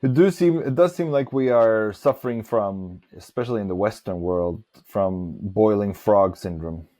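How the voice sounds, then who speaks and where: A man's voice: fairly low pitch, normal speed, warm tone. A man who grew up in Norway, talking reflectively and giving his opinions as host of a casual interview.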